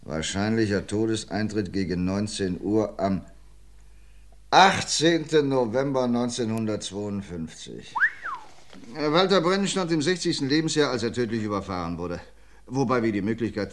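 An actor playing a methodical police inspector with dry wit, in 1950s radio crime drama style, measured and reading out case files. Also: male voice, fairly low pitch, very animated. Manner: measured, reads out files, wry asides.

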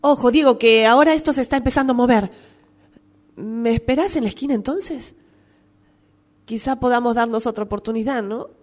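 Exasperated Argentine girlfriend, played by a woman, lively pitch. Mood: tentative, pleading. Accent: Argentine Spanish. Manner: indignant, argumentative. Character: exasperated, defensive girlfriend quarrelling with her boyfriend by phone. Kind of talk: radio drama acting, one side of a phone call.

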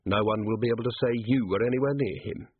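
Man playing a terse older commander, husky voice. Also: medium pitch, quick pace, no room sound, phone-quality sound, natural voice. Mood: brisk, commanding. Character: gruff, sardonic older police commander.

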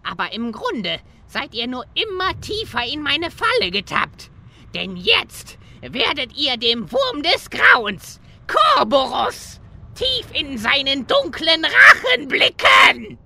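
Woman voicing a shrill, cocky little villain mage. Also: huge pitch swings, slow, creaky, bright, forceful tone, heavily acted. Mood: gloating menace. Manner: theatrical, taunting.

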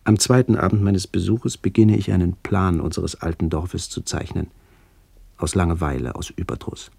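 A reflective middle-aged man tells a literary radio story. His voice is very low and husky, with a gravelly edge, and his delivery is measured and contemplative. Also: warm tone, dry recording, heavily acted. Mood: pensive. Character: reflective man visiting his ageing family. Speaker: narrator. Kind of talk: literary radio play narration.

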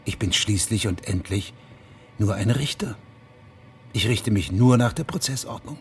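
An actor playing a dogged, formal middle-aged judge in a German radio drama, measured and unyielding. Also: male voice, lowish voice, faint music behind. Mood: firm, measured.

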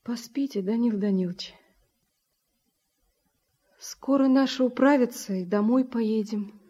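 An actress playing a caring but sharp-tongued young farm woman in a radio drama. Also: fairly high pitch, measured pace, slightly rough, highs cut off. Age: young adult. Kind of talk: Soviet radio drama acting.

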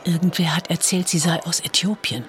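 A woman's voice: on the low side, steady tone, fairly quick, faint music behind, natural voice. An actress playing an observant, curious woman in a radio play, talking in a conversational, wondering, hesitant way. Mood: hushed, wondering. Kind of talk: German radio play acting.

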